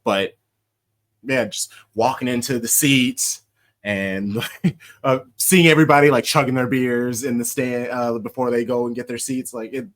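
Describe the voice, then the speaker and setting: A young American man hosting a casual podcast, an animated storyteller who laughs often and shouts out quoted chants. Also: mid-range voice, measured pace. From United States. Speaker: podcast host.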